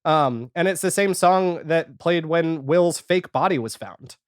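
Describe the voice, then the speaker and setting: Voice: medium pitch, unhurried, no echo, clean studio sound. A man chatting casually as a podcast guest, rambling and retelling things with quoted reactions. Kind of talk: casual podcast chat about a TV series.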